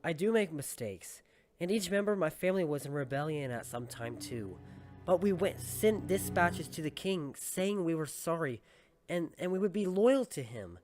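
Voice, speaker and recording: A man's voice: a little high, animated, moderate pace, a little mellow, faint music behind, lightly acted. A man playing an earnest, indignant, righteous boy in an audio drama, pleading for loyalty to a king. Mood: earnest, firm.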